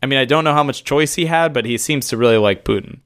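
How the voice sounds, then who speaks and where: A man's voice: mid-range voice, quick pace, fairly bright tone. Rambling, laughing man on a podcast. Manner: rambling, laughs often, swears casually. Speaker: podcast co-host. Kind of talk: casual podcast chat.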